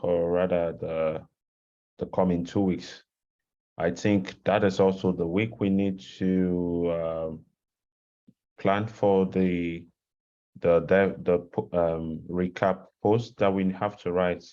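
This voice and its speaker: A man giving an explanatory presentation on a video call, haltingly searching for words. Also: sits low, fairly even intonation, dark tone, dull audio. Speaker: presenter of a learning module. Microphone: headset on a video call.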